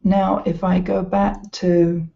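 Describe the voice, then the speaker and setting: A woman's voice: on the low side, little pitch movement, vocal fry. A woman giving an informal, hesitant walkthrough as an educational presenter. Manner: hesitant, halting delivery. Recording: slightly dull, lo-fi sound.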